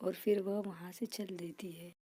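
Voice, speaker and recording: Slow, mellow, low-pitched woman storyteller. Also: steady tone, husky, no echo. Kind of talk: children's story narration.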